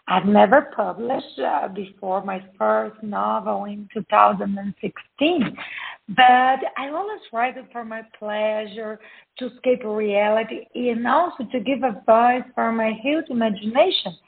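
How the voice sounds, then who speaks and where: A Brazilian woman in her fifties with a Brazilian Portuguese accent, speaking carefully as a guest, often pausing with "uh" to find her words. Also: fairly high pitch, gravelly. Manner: careful, hesitant with frequent "uh" pauses. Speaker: guest author. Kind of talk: interview conversation. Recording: phone-like narrow audio.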